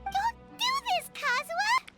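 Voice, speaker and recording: A woman voicing a tiny, childlike, earnest female fairy companion in a video game, with a squeaky voice. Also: sing-song, slow pace, exaggerated voice. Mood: worried. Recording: loud game music underneath.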